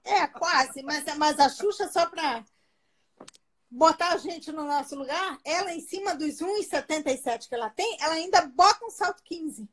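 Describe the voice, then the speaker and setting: A woman's voice: sits high, lively intonation, normal speed, a bit bright. A woman in her forties from Bahia with a Bahian accent, a guest on a home video call who tells stories and laughs easily. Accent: Bahian Brazilian Portuguese. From Bahia, Brazil. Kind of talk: casual live chat interview. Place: living room at home. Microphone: phone or webcam on a video call.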